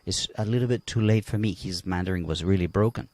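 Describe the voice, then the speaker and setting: A man's voice: deep voice, fast, a little mellow, dry recording. A man from Colombia speaking emphatically on a livestream panel, asking rhetorical questions. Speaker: panel guest.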